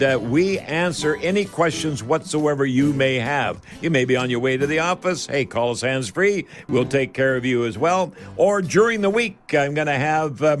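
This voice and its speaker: A man hosts a home improvement call-in show on the radio. He talks in a folksy, rambling, unscripted way, addressing the audience directly. Faint music sits behind his voice. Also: on the high side.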